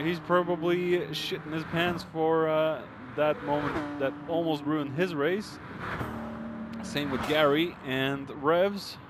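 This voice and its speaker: A man with a high-pitched voice calls a race live over clear vehicle noise. He is informal and exclamatory, with hesitations between phrases. His voice sounds strained, as if it is starting to give out. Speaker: esports racing commentator. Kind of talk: excitable live race commentary.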